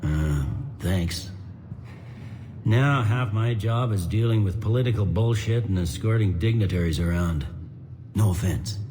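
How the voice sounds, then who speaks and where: A man playing a gruff, plain-spoken, world-weary police commander in a sci-fi video game, blunt and informal. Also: on the low side, unhurried, dark tone, lightly acted. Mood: cordial, awkward. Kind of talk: video game cutscene acting. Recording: faint ambient noise behind dialogue.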